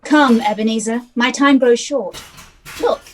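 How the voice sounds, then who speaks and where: A woman's voice: a little high, unhurried, natural voice. A woman playing a stern, questioning ghostly spirit in an amateur radio play. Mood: commanding. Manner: questioning, commanding. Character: Ghost of Christmas Past, probing, stern spirit. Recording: noisy home video call.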